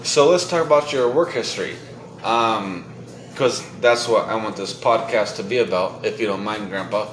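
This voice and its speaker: A man's voice: lowish voice, moderate pace, a bit bright. Young guy podcasting w his grandpa, lots of ums, echoey room. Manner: filler-heavy, hesitant questions. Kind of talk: casual family interview. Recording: echoey room, faint noise.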